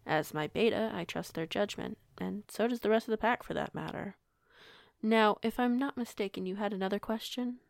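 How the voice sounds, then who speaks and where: A woman playing a composed, kindly werewolf pack alpha in an audio roleplay, speaking in a formal, measured, authoritative way. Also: medium pitch, gravelly, dry recording, studio quality, lightly acted. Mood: warm, businesslike.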